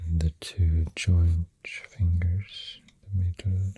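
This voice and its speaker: A man with a Swedish accent speaking softly in a halting, half-whispered ASMR ramble, close to the microphone. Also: deep voice, little pitch movement. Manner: hushed, breathy, half-whispered. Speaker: ASMR host. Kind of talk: soft-spoken ASMR rambling.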